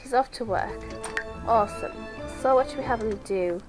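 A woman's voice: on the high side, moderate pace, music in the background. Woman with a cold, chatting. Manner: chatty, talks to her game characters. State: sick with a cold. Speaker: let's play host. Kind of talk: casual gameplay commentary.

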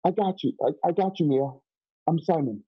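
A man's voice: a little high, normal speed, slightly rough, no room sound. A young man playing an earnest, frightened frontier youth in a radio western, stammering and hesitant in rustic country speech. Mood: urgent, soothing. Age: young adult. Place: home room on a video call. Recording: dull video-call audio.

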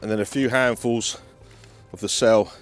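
A man's voice: fairly low pitch, steady tone, moderate pace, soft background music. A British man with a British accent, presenting a fishing feature as an angler and explaining things to camera. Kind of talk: instructional talk to camera. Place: outdoors on a lake bank.

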